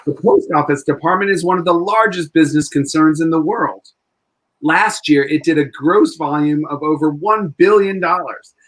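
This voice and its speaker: A man's voice: a little high, moderate pace, a bit bright, lightly acted, rough recording. A man playing an earnest, persistent defense lawyer arguing in court in a 1940s-style radio play.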